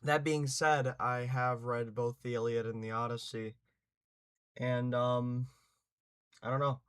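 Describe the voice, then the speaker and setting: A man's voice: mid-range voice, level tone, slow, a bit bright, clear, resonant tone. A young man talking casually and unscripted, full of hesitations, restarts and fillers, now and then swearing for emphasis. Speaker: channel host giving opinions.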